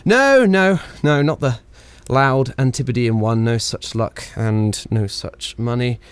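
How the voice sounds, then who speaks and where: A man's voice: mid-range voice, slow, clean tone, no echo, lightly acted. A man playing a cheeky, whimsical 1920s radio pioneer in a radio play, rambling theatrically with hesitant, playful asides to listeners. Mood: jovial, storytelling. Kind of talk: 1920s radio play acting, comic monologue.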